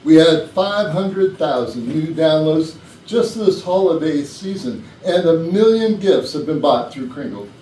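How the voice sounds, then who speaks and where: A man playing a genial retiring chief executive, giving a measured, gracious speech in a stage play. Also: fairly high pitch, expressive, warm tone. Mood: gracious, formal. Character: genial, formal retiring male company CEO. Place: indoor rehearsal room. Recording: roomy rehearsal, distant mic, faint noise.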